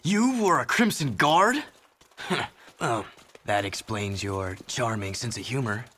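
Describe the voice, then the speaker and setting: A man's voice: mid-range voice, expressive, slow pace, a bit bright, slight background noise. An actor playing a brash, edgy young male hero.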